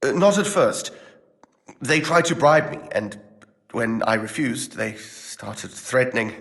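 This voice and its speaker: An actor playing a nervous, evasive monastery clerk who talks haltingly, in a video game. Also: male voice, medium pitch, lively intonation, vocal fry, a bit bright, in a large hall, full character voice. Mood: nervous, confessing. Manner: hesitant, halting.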